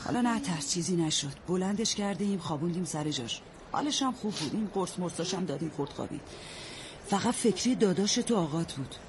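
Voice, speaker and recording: A woman's voice: on the low side, lively intonation, lightly acted. An actress playing a blunt, motherly older neighbor woman who talks in folksy sayings and bosses the young women around. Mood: grave. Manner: folksy, proverb-quoting, bossy. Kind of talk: radio drama acting. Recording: noisy radio-play recording.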